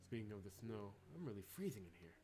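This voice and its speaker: A man reads as a bewildered young hero of a video game. He is easygoing but exasperated and full of questions, in a fairly low voice over clear music. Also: sing-song, fast, warm tone. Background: game music behind the voice.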